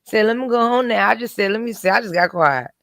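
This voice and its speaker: A woman's voice: mid-range voice, quick pace, gravelly, crowd noise. A woman chatting casually as a livestream host, gossipy and sassy, with slangy, profane banter.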